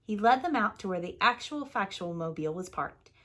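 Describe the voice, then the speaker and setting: A woman's voice: mid-range voice, expressive, a little fast, a bit bright. A woman preschool teacher narrating a children's picture book as a storyteller.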